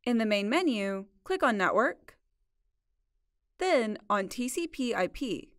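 A woman narrating a scripted software tutorial in a measured, instructional way. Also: a little high, big pitch swings, no echo, clean studio sound. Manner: clear, measured instructional delivery. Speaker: support video narrator.

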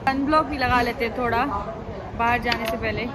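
High-pitched young woman vlogging casually. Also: steady tone, brisk. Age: young adult. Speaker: vlogger, host. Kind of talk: casual travel vlog chat to camera. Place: busy restaurant, street and hotel grounds. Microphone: phone at arm's length. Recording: noisy outdoor and restaurant crowd background.

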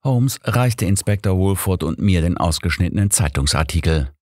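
Deep-voiced audiobook man. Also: lively intonation, moderate pace, a little mellow, no room sound, clean studio sound. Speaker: audiobook narrator. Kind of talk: scripted first-person mystery narration.

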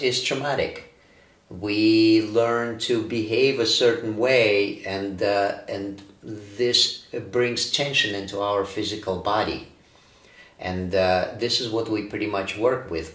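A man in his forties from Ukraine, a breathwork teacher, answering interview questions in a calm, reflective way with frequent fillers. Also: fairly low pitch. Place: bright studio room, indoors. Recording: roomy studio echo.

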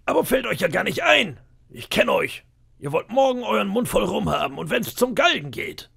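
A man's voice: high-pitched, big pitch swings, normal speed, gravelly, a bit bright, slightly rough, voice pushed up, exaggerated voice. A man voicing a cunning old pirate cook in an audiobook, wheedling and boastful with flashes of menace. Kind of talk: audiobook character voice.

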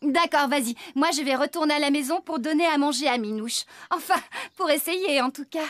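Kindly cartoon farmer, high pitch, expressive, brisk, quick to get exasperated. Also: female voice. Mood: coaxing, exasperated. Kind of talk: children's cartoon acting.

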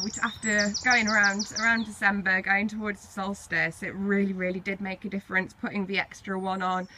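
A woman's voice: mid-range voice, pushed and bright, no echo. A woman giving a casual, chatty handheld tour to viewers, wandering off into informal asides as she films on her phone. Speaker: vlogger showing own off-grid setup. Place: outside by a caravan, then inside it. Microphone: handheld phone behind the camera.

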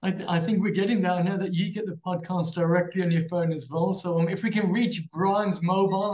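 A man hosting a podcast interview over a headset on a video call, asking friendly quick questions. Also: high-pitched, a little mellow, rough recording. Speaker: podcast host. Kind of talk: interview host.

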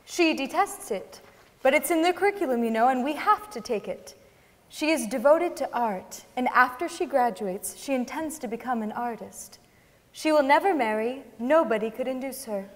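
A woman's voice: sits high, lively intonation, normal speed. A young woman giving a dramatic reading of letters and novels on the stage of a large hall. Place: large concert hall stage before an audience. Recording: long reverb of a concert hall.

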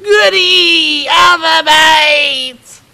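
A piercingly bright, high-pitched little boy, stubborn and petulant. He whines through drawn-out, creaky words in a horror storybook narration. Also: heavily acted. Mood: gleeful shouting. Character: whiny, stubborn young boy demanding food and sweets.

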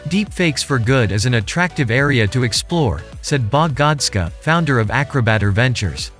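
A man's voice: mid-range voice, lively intonation, moderate pace, fairly bright tone, soft background music. A man from Germany presenting a video as scripted narration.

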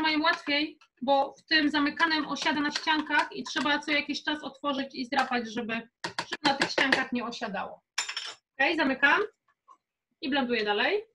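A woman's voice: high voice, moderate pace, background noise. A young woman leading a casual live cooking workshop in a chatty, informal, encouraging way. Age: young adult. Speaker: cooking instructor. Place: home kitchen, indoors.

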